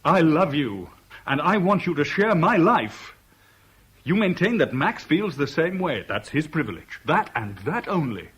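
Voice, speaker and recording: A man's voice: fairly high pitch, very animated, measured pace, fairly bright tone. Intense man, widely swooping voice. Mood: bitter, insistent. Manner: sardonic, clipped, intense. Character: bitter, lovelorn man caught in a love rivalry. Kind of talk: 1970s British radio drama acting with narration. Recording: noisy old radio broadcast recording.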